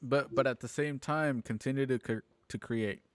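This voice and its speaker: A man's voice: medium pitch, fairly even intonation, normal speed, dry recording. Earnest man in casual conversation. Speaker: film editor and aspiring voice actor. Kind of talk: casual group chat.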